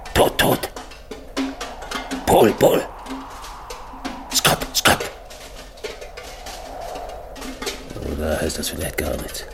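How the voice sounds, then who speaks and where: An actor in a German radio play voicing a tormented, dying polar expedition leader who talks haltingly to himself, husky and gravelly. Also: male voice, fairly low pitch. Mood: bewildered, haunted. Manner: halting, repeats words, swings between murmurs and desperate calls.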